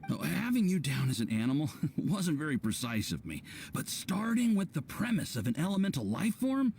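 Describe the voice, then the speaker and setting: An actor playing an earnest, deferential young research assistant in a video game, keen to please. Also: male voice, on the high side, very animated, normal speed, gravelly, a little mellow, slight background noise. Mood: eager, earnest. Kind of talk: animated video game acting.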